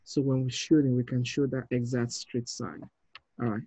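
A man's voice: mid-range voice, steady tone, moderate pace, dark tone, breathy edge, dry recording. A man in his thirties reading script directions as a film director over a video call. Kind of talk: flat scripted narration. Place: room at home, indoors.

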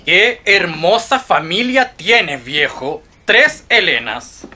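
An actor with a Chilean accent playing a boisterous, cheerful, middle-aged globetrotter in a radio play. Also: male voice, sits high, animated, slow, bright, forceful tone, heavily acted. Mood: concerned, upbeat. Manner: exuberant, theatrical.

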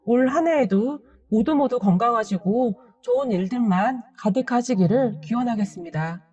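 A woman's voice: fairly high pitch, moderate pace, far from the mic, muffled recording, faint music behind. Earnest woman telling a personal story. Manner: gentle, earnest, polite storytelling. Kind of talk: first-person story narration.

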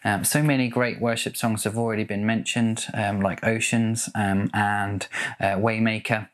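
A man's voice: fairly low pitch, flat delivery, normal speed. A man in his thirties speaking hesitantly and without hurry in a casual devotional talk to camera, recorded in a small room at home. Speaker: church member presenting a devotional video.

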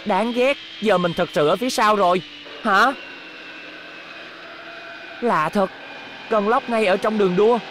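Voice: mid-range voice, measured pace, pauses often, fairly bright tone, slight background noise, natural voice. An actor playing a brave, earnest young robot boy in a Vietnamese cartoon dub. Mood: urgent.

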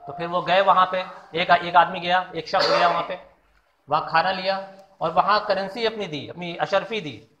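A man's voice: high-pitched, steady tone, moderate pace, background noise. A man giving an informal religious talk, explaining a Quran story and asking rhetorical questions. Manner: explanatory, repeats key lessons, asks rhetorical questions. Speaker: Islamic preacher. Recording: echoey, dull lo-fi room sound.

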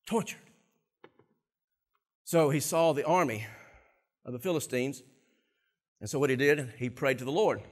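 A middle-aged man preaching a sermon from a church stage on a lapel mic, animated and swinging between quiet and loud passages. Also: fairly high pitch. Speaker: pastor.

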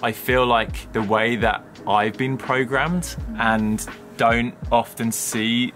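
A man's voice: mid-range voice, steady tone, slow pace, over music. A man in his thirties chatting on a vlog with his wife, reflective and thinking aloud, recorded outdoors in a garden. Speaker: vlogger, co-host. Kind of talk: casual chat.

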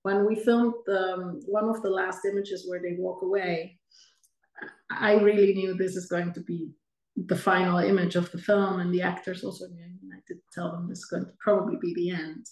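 A woman's voice: on the low side, flat delivery, a little mellow. Thoughtful woman director on a video call. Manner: thoughtful, measured, explanatory. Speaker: film director. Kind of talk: festival Q&A over video call. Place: home room, indoors. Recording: roomy video call audio.

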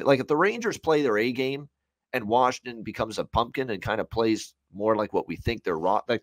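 A man's voice: on the low side, no echo. A man in his thirties giving conversational sports betting analysis from a room at home, building long, hedged, run-on points. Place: small room at home, on a video call.

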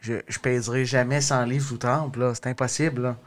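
Casting: male co-host, strong colloquial Québécois accent, medium pitch, casual and questioning. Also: little pitch movement, brisk. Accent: Québécois French. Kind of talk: casual chat. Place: small radio studio, indoors.

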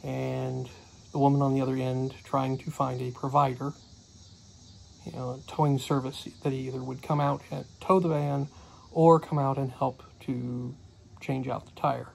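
A man's voice: medium pitch, level tone, moderate pace, vocal fry, darkish tone, a little hiss or hum, low-quality recording. A middle-aged man giving a casual daily vlog recap, rambling with many fillers, recorded on a phone camera close to his face in a small cluttered room. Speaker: homestead vlogger.

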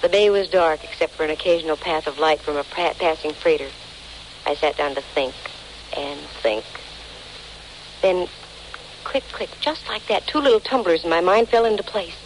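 A woman's voice: lowish voice, expressive, moderate pace, natural voice. An actress playing a wry, slangy female private detective in 1940s radio drama style. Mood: brisk, determined. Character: wisecracking, dry-humored female private detective. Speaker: lead actress and narrator of a detective serial. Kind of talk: 1940s radio drama acting, first-person narration. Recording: noisy old 1940s radio recording.

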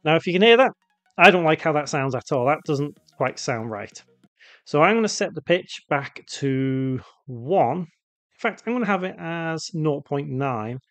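A man with a somewhat high-pitched voice presents a software tutorial. He talks casually and without a script, thinking aloud as he works through each step. The sound is close and very dry, as a voice-over. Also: animated, moderate pace, no echo, soft background music. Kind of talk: unscripted software tutorial narration.

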